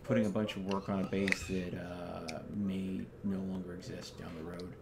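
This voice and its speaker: Male streamer, low voice, casual gameplay chat over clearly noisy audio. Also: little pitch movement, normal speed. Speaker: video game streamer, host.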